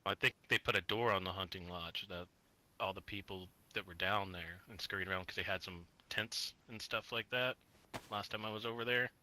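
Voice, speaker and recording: A man's voice: low-pitched, fairly even intonation, moderate pace, sharp tone, rough recording. A man talking in character during a survival roleplay game, rambling and swearing as he trails off mid-thought. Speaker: roleplay player.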